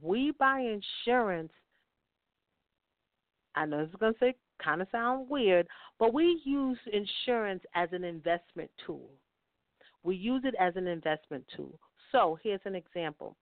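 A woman's voice: mid-range voice, no room sound. A woman giving financial advice on a talk show, stressing her points by repeating key phrases. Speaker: guest financial speaker. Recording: tinny phone-line sound.

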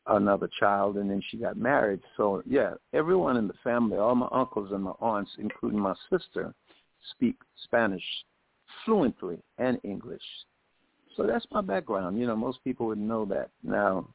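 A man's voice: on the low side, animated, normal speed, vocal fry, breathy edge, no echo. A man in his seventies from Jamaica, a singer, telling anecdotes as a guest in a radio interview. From Jamaica, later the United States. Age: 70s. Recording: phone-like, thin radio call.

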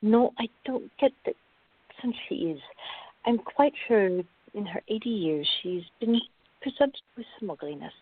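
A narrow, phone-like sound carries an actress as a calm woman with a slightly husky voice. She is measured and placating, gently firm as she explains things in a radio drama. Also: mid-range voice. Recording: tinny, band-limited, echoey room.

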